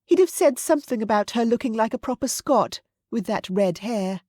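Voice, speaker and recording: A woman's voice: fairly high pitch, expressive, normal speed, warm tone, dry recording, clean studio sound, pitched above the performer's own voice. A woman voicing an older aristocratic widowed mother, fond, chatty and assured, in an audiobook story.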